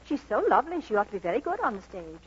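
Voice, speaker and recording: An actress playing an unruffled, gracious doctor wife in an old radio comedy, polite and gently dry. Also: female voice, medium pitch, sing-song, rapid-fire, slight background noise, natural voice. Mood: calm, sweet. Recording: muffled old radio broadcast recording.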